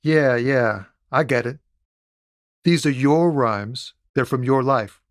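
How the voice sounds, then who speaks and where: A man's voice: mid-range voice, takes it slowly, dry recording, studio quality, lightly acted. A man voicing a laid-back, soulful lorry driver in a video game, loyal and reluctant, talking in a casual, hesitant, earnest way with streetwise slang. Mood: sympathetic, easygoing. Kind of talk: conversational video game character dialogue.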